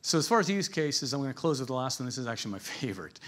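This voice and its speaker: A man's voice: medium pitch, big pitch swings, rapid-fire, a bit bright. Conversational man presenting at a business conference. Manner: conversational, jokey storytelling, chuckles at his own asides.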